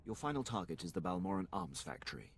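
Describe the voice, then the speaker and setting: A man's voice: low voice, very animated, quick pace, a little hiss or hum. A man playing an eager, deferential Imperial military officer in a video game, speaking formally and precisely. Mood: briefing, zealous.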